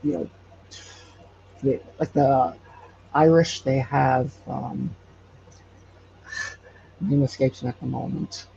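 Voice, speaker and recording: Muffled, gravelly man on a noisy call. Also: mid-range voice, fairly even intonation, mellow. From Hawaii. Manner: hesitant, pausing to search for words. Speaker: podcast creator, interview guest. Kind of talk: casual interview chat. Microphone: online call.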